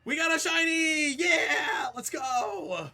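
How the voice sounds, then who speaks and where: A man giving casual live commentary as he plays a video game, excitable and joking. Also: very high voice, takes it slowly, gravelly, soft background music. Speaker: speedrunner playing and commentating.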